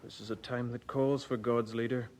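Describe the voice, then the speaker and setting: An actor playing an earnest, devout junior churchman in a radio drama, speaking solemnly and deliberately. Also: medium pitch, little pitch movement, darkish tone, lightly acted. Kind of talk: old radio drama acting.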